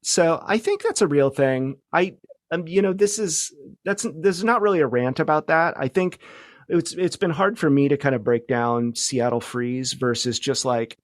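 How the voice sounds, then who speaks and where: A middle-aged man speaking as a podcast guest, chatting casually and somewhat haltingly as he thinks aloud. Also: on the high side, no room sound, studio quality. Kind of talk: casual conversational storytelling.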